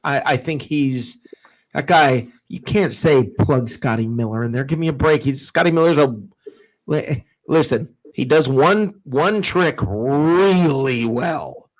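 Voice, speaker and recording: Male sports talk host, mid-pitched, informal and blunt, punchy emphasis in loose live chat. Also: unhurried, rough recording.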